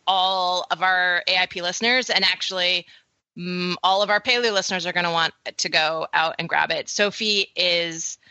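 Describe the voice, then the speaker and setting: A woman from Canada co-hosts a podcast in a bright, crisp voice recorded dry and close with no room sound. She is playful and enthusiastic, speaking at a middle pitch. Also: fairly even intonation, normal speed, no room sound, highs cut off. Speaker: podcast co-host. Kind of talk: casual podcast chat.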